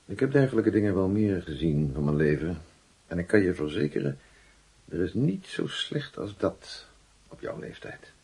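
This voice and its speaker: An actor playing a stern, moralising schoolmaster in a radio play, grave and patronising. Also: male voice, low-pitched, big pitch swings, moderate pace, vocal fry, a little mellow, breathy edge, heavily acted.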